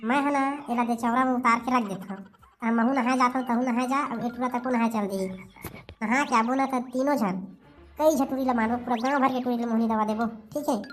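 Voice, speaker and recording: A scheming young village boy from a comic cartoon, acted by a woman. The voice is high and bossy, quick to scold, with a Chhattisgarhi accent. Faint music plays behind. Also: steady tone, lightly acted.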